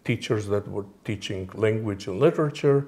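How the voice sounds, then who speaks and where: A man in his forties from Moldova, a native Romanian speaker, answering interview questions in a halting way with many fillers and restarts. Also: on the low side, a little mellow. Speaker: interview guest.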